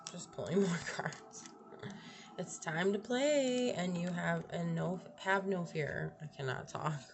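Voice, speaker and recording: A young woman with a slightly raspy voice doing a casual tarot reading, thinking aloud, trailing off and restarting her sentences. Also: low voice. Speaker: tarot reader. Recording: audible background noise.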